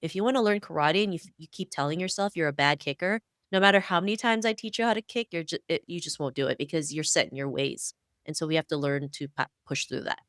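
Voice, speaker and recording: A woman's voice: low-pitched, animated, quick pace, a bit bright, dry recording, clean studio sound. A woman guest in a podcast interview, speaking in an earnest, fluent, motivational way. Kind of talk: conversational interview.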